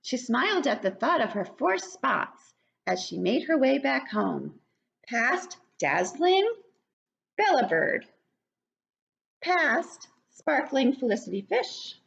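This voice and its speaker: An older woman reading a picture book aloud to young children in an animated, child-directed way. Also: high-pitched, slow, creaky. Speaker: children's story reader. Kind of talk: read-aloud picture book narration for preschoolers. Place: living room at home. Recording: dull, lo-fi webcam sound.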